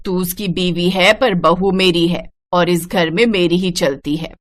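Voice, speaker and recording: A woman's voice: fairly low pitch, fairly even intonation, a little slow, natural voice. A woman voicing a bossy, disapproving middle-aged mother-in-law in a cartoon story, scolding and domineering. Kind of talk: animated moral-story cartoon dialogue.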